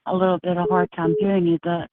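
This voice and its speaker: A soft-spoken woman from Texas calls in over a tinny phone line. Her flat, even delivery is earnest and halting, full of small pauses. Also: fairly low pitch. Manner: earnest, halting, many fillers. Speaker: caller.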